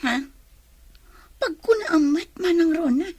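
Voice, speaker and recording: A woman's voice: extremely high pitch, lively intonation, unhurried, a little mellow, dry recording. An actress playing a sly, scheming young woman in a radio drama, often musing aloud to herself. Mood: defensive.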